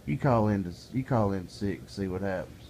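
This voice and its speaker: Low-voiced middle-aged man ranting plainly. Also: a little fast, a little mellow, slight background noise. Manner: plainspoken, folksy, grows heated and exasperated. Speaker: vlogger. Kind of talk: casual ranting monologue.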